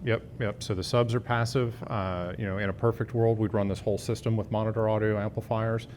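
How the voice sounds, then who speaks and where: A man's voice: little pitch movement, brisk, a little mellow, a little hiss or hum. A man in his fifties, a sales director, giving a fluent product rundown in a hotel demo room. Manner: fluent, confident sales pitch.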